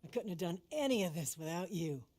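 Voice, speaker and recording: A woman's voice: fairly low pitch, animated, brisk, mellow. A woman playing a commanding, vengeful older criminal matriarch with a salty tongue in a dramatic game cutscene. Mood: calm, commanding.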